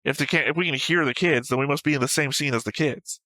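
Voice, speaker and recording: A man's voice: on the high side, quick pace, pushed and bright, dry recording, poor audio. A man co-hosting a reaction show, casually analysing and arguing his points in a matter-of-fact way. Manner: argumentative, matter-of-fact, picking apart points. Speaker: co-host of an anime reaction show. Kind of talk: casual analytical debate, discussion.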